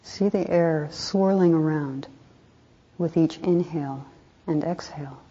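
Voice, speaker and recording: A woman meditation teacher leading a guided meditation in a soft, calm voice, unhurried, with pauses between short phrases. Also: deep voice. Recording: dull, muffled lo-fi recording.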